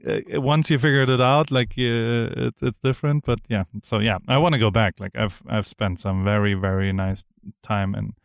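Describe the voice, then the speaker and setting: A man's voice: fairly low pitch, fairly even intonation, normal speed, creaky, smooth, no echo, studio quality. A middle-aged man hosting a casual interview, talking eagerly in rambling sentences with many restarts. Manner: enthusiastic, rambling with frequent restarts. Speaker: podcast host.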